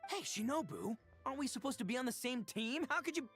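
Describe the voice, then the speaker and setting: A man's voice: extremely high pitch, lively intonation, quick pace, fairly bright tone. A man playing a cocky, boastful big gang boss in a video game, with brash, slangy swagger. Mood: indignant. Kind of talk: video game character acting. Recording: game music behind.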